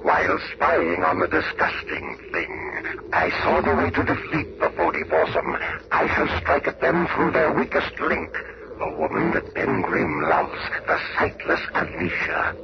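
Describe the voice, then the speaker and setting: An actor playing a masked, bitter, gloating supervillain with pompous, formal diction in an old-time radio superhero drama. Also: male voice, big pitch swings, measured pace, full character voice. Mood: vengeful. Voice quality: very deep, gravelly. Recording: muffled, very noisy old radio recording.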